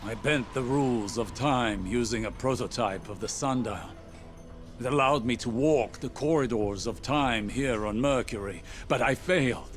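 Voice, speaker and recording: An actor playing a grave, weary time-walking mentor in a video game cutscene, speaking solemnly and deliberately with a somber, resigned air. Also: male voice, mid-range voice, soft background music, natural voice. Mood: somber, regretful. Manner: solemn, deliberate, portentous delivery. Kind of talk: dramatic scripted video game cutscene monologue.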